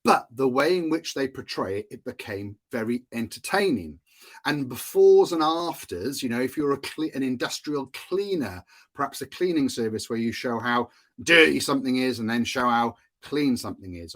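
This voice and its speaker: A man's voice: medium pitch, expressive, normal speed, a bit bright. Chatty Welsh man hosting a podcast. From Wales. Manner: chatty, self-amused, laughs often, many fillers and restarts. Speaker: podcast host, marketing consultant. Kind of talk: solo podcast talk.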